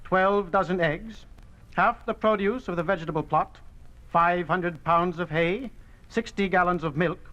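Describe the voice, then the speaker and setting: A meek, officious clerk's assistant in a classic radio drama. His voice is high for a man, with a formal, clipped and deferential delivery. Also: unhurried, pushed and bright, dull audio. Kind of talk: 1950s radio drama acting.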